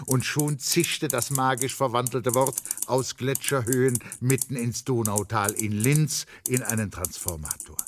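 An actor narrating a literary radio play in German, intense and emphatic, moving between hushed and urgent delivery. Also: male voice, medium pitch, slight background noise, lightly acted. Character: literary narrator of a young postal clerk's story.

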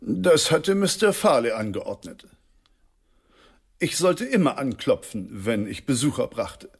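A man's voice: medium pitch, sing-song, measured pace, husky, heavily acted. An actor playing a formal, deferential butler in a German radio drama, stiff and precise in his answers. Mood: formal, dutiful.

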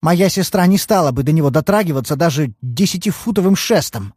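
A man's voice: fairly high pitch, lively intonation, a little fast, a little mellow, breathy edge, no echo, clean studio sound, voice pushed up. A man doing a distraught young woman. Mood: insistent. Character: young woman, protective sister, pale and upset.

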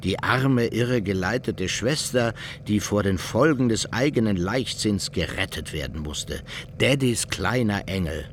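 Scornful local guy telling gossip, radio play, lowish and lively pitch, dry delivery. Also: a little slow, a little hiss or hum. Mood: sardonic. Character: scornful small-town man recounting local gossip. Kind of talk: radio drama storytelling.

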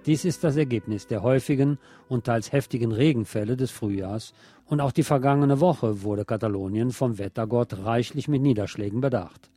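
Mellow-voiced man reading radio news. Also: lowish voice. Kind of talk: scripted radio news reading with wry commentary.

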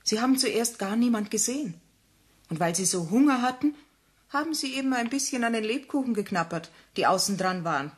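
An actress playing a mother who tells a fairy tale to her child in a gentle, instructive way. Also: a little high, animated, fairly quick, slightly rough. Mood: storytelling. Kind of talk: 1960s radio play acting.

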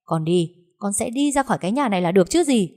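A woman voicing an angry grown daughter who talks back with shrill, accusing, tearful words. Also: lively intonation, fast, darkish tone, lightly acted. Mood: resentful. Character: angry grown daughter, sharp-tongued and bitter.

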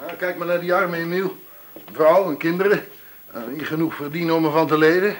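An actor as an offhand, high-voiced male factory worker. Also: fairly even intonation, a little fast, close to an everyday voice. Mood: grumbling. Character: male factory worker, outwardly affable, evasive, grumbling bachelor. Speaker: actor in a radio play. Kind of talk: radio drama dialogue.

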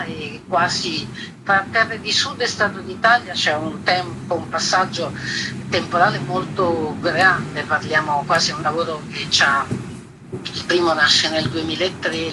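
A woman being interviewed as an exhibition curator, explaining in an earnest, reflective way with searching pauses. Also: low voice. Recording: clearly noisy background.

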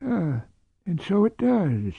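A man's voice: fairly high pitch, animated, slow, vocal fry, husky, no room sound, narrow, tinny audio, pitched above the performer's own voice, full character voice. A man voicing a crusty, reserved old aristocrat with a dry, clipped manner, in an audiobook. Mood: bemused. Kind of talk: audiobook character voice.

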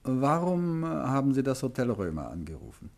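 An actor playing an urbane, tactful but insistent gentleman detective in a 1950s German radio drama, polite and measured as he probes. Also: mid-range voice, huge pitch swings, vocal fry, soft background music.

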